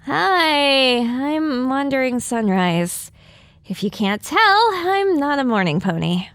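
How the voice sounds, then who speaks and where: A woman's voice: high-pitched, expressive, slow pace, fairly bright tone, no echo, exaggerated voice. A woman playing a young, sardonic female pony in an audio drama, with a blunt, wry way of talking. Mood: wry, sleepy. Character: young female unicorn pony, sardonic, not a morning person.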